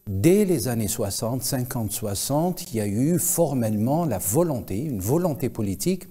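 A man in his forties giving explanations as an expert interview guest, with formal, hesitant, deliberate phrasing. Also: medium pitch, expressive, dark tone, husky. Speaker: guest expert, Islamic scholar. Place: TV studio, indoors.

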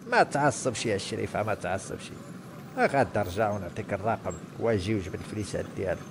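A man's voice: on the low side, expressive, quick pace, slight background noise. A man voicing a placating male security guard in a comedy cartoon, with a northern Moroccan accent. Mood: soothing. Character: calming, low-voiced male security guard.

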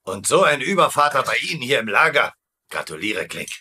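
Scheming POW colonel: quick, animated, cocky, laying on false flattery. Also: male voice, medium pitch, slight background noise. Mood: mock flattering. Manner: wry, mock-flattering. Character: cocky, sly American POW colonel, smooth-talking schemer. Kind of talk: dubbed sitcom acting.

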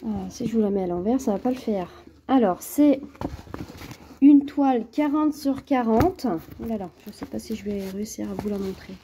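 A woman's voice: a little high, animated, normal speed, warm tone, a little hiss or hum. A woman with a cold, chatting casually and hesitantly to camera at home while unboxing a craft kit, with many fillers and restarts. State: has a cold. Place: at home, at a table.

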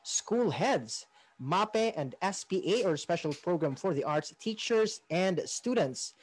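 A woman's voice: on the low side, lively intonation, takes it slowly, a little mellow, breathy edge, poor audio. A woman with a Filipino accent hosting a radio program, partly scripted with casual asides.

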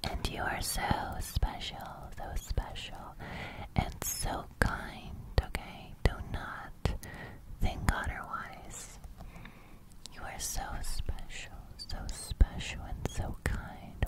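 A young adult woman whispering soothingly for an ASMR roleplay close to the microphone, with a breathy crackle that edges into soft speech. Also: fairly high pitch. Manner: soothing, slow, reassuring. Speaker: ASMR host. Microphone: large studio microphone, very close.

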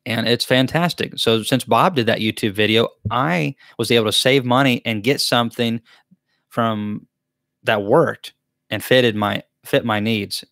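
A man's voice: mid-range voice, measured pace, a bit bright, no echo, studio quality. Chatty man hosting a live chat. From Tennessee, US. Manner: chatty, rambling, talks in restarts. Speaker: beekeeping channel host. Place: small wood-paneled room indoors. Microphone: desk mic close to camera.

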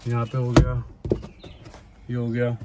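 A man's voice: mid-range voice, monotone, slow. A man in his twenties from India chatting casually as a car vlogger, recorded around a car on the road. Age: 20s. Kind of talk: casual vlog chat. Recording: clearly noisy car and roadside sound. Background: car and road noise.

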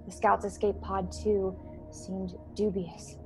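A woman's voice: medium pitch, little pitch movement, takes it slowly, music in the background, poor audio. A young woman playing a grieving starship crew member in a science-fiction radio play, telling her story dramatically. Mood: sombre recounting. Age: young adult. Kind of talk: dramatic first-person radio play narration. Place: bedroom at home, video call. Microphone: earphone headset on video call.

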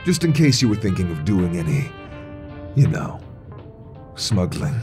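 An actor voicing a smug elf wizard train attendant with a soft, sensuous voice, in a comic dub. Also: male voice, sits low, sing-song, measured pace, faint music behind. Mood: sly. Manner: sly, suggestive.

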